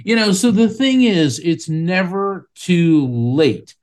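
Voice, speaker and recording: A man, a psychic medium, hosting a call-in show and speaking emphatically in a lively storytelling way. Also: high voice, slow. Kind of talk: call-in radio show chat.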